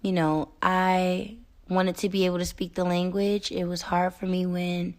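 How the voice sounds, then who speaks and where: A woman's voice: lowish voice, level tone, a little slow. A young American woman hosting a solo podcast, talking in an earnest, emphatic way with frequent "um" and "okay?". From United States. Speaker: podcast host.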